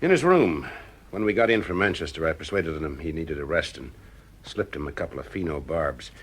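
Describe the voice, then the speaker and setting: An actor playing a calm, persuasive private detective in an audio drama, with a husky voice. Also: male voice, extremely low pitch, fairly quick, slight background noise.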